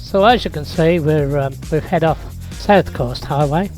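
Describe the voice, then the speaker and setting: A man, hoarse and croaky from being sick, casually narrating a road trip and drone flight with easygoing fillers. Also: on the high side, unhurried, over music. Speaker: vlog host. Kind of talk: casual travel vlog narration.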